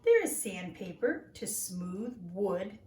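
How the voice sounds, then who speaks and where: A woman reading a children's story aloud like a teacher, with deliberate, simple phrasing for small children. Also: mid-range voice, very animated, darkish tone. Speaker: teacher reading a picture book to young children. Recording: roomy echo, distant mic, faint noise.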